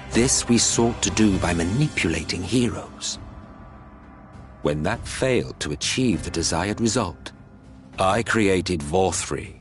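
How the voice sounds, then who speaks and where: An actor playing an ancient, scornful immortal sorcerer in a video game, theatrical and condescending, with a drawn-out sardonic delivery. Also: male voice, deep voice. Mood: grave disdain. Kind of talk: dramatic video game voice acting.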